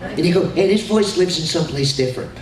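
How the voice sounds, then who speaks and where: A middle-aged man, a voice actor on a convention panel, talking in an animated, jokey way and slipping into character voices. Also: fairly high pitch, fast, crowd noise. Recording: echoey hall, far from mic, noisy.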